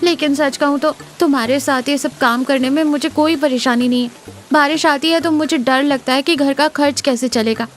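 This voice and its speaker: Cartoon village wife, played by a woman narrator: high voice, scolding and exasperated with a loving core, soft music underneath. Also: fairly even intonation, normal speed, lightly acted. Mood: tender confession. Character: sharp-tongued village wife, scolding yet secretly loving.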